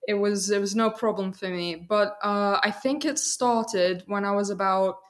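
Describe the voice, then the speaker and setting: A woman's voice: mid-range voice, normal speed. A young adult woman, a student guest, telling personal experiences conversationally in a candid, thoughtful way. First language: English.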